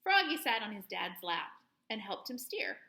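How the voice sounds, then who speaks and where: A woman host reading a children's story aloud in an expressive, playful way, with plenty of sound words. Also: mid-range voice, moderate pace, creaky, reverberant.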